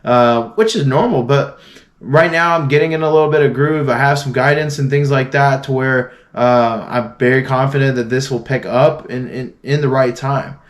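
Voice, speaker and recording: A young man from Florida speaks to his viewers in a relaxed, earnest way. He rambles a little, restarting sentences and dropping fillers as he works through his thoughts, with a voice of middling pitch. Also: moderate pace. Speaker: vlogger. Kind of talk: casual motivational talk to camera.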